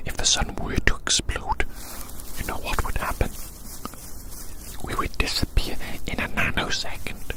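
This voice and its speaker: Hushed, whispering ASMR roleplayer. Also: poor audio. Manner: slow, repeats phrases, addresses listener as little friend. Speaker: ASMR performer. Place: outdoors.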